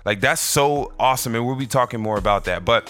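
A man introducing a podcast episode, candid and animated. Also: medium pitch, quick pace, no room sound. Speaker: podcast host. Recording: dry close mic, faint music. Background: faint music.

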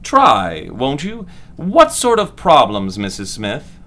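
Actor as pompous, swooping 1940s doctor-lecturer. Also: male voice, medium pitch, wildly swooping pitch, slow, bright, forceful tone, heavily acted. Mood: coaxing, patronizing. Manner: formal, theatrical, patronizing. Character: pompous male doctor lecturing medical students, clinically smug. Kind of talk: 1940s lecture acting in an audio drama.

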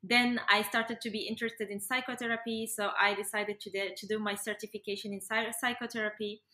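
Woman, young adult, bright forward voice, roomy echo, casual interview guest. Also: medium pitch, flat delivery, fairly quick. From Morocco. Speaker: interview guest, yoga and breathwork facilitator. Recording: roomy, echoey sound.

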